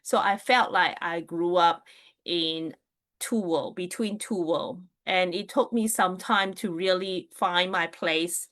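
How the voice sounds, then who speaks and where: A woman's voice: fairly low pitch, slow, a bit bright. A woman from New Zealand chatting casually and digressively on a live stream, greeting her viewers as she goes.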